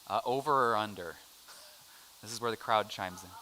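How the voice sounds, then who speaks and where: A man's voice: fairly low pitch, a little slow, bright, forceful tone, a little hiss or hum, rough recording. A middle-aged American man teaching a casual adult Bible class, chatty and joking as he quizzes the room. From United States. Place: church classroom.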